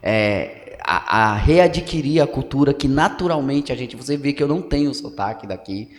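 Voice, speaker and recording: A man's voice: mid-range voice, lively intonation, normal speed. Chatty man in a reverberant hall. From Pernambuco, Brazil. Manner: explanatory, leans on tag questions and fillers. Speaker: podcast guest, local journalist. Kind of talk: casual political commentary. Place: council building room.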